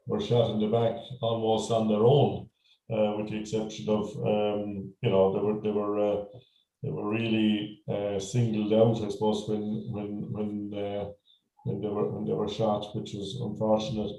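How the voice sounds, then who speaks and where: An Irish man hosting a local history meeting over a video call, speaking haltingly with many fillers and restarts. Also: fairly low pitch, dark tone, echoey room, rough recording. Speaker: meeting host. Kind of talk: hesitant moderating on a video call.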